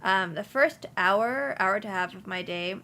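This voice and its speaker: Young woman with a gravelly, bright voice. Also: medium pitch, a little slow. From Houston, US. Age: young adult. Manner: relaxed, many ums and restarts. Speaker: insurance agency principal, guest. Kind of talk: casual interview conversation.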